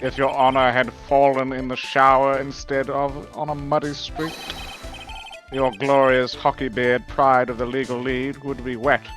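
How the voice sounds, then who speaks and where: A cool, smug male prosecutor played by a fan dubber in a courtroom game. He speaks slowly and deliberately, looking down on his rival, with music behind him. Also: medium pitch, flat delivery, fairly bright tone, lightly acted.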